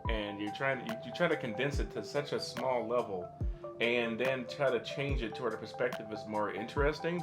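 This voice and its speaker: Male co-host, medium pitch, dry joking casual delivery, distinct music bed behind. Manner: informal, wry, joking. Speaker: podcast co-host. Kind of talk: casual podcast chat about pop culture. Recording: music clearly playing under the voice. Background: background music.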